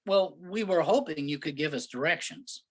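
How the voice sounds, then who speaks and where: A man's voice: on the high side, moderate pace, natural voice. A man playing an impatient, grumbling New York husband lost in the countryside, in a staged reading of a comic play. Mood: impatient, sarcastic. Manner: sarcastic, grumbling.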